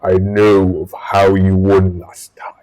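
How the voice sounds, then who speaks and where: A man's voice: low-pitched, flat delivery, dark tone, faint music behind, low-quality recording. A man playing a vengeful, boastful alien military commander in an audio drama, speaking with pompous menace. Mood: gloating menace. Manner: slow, menacing, pompous delivery.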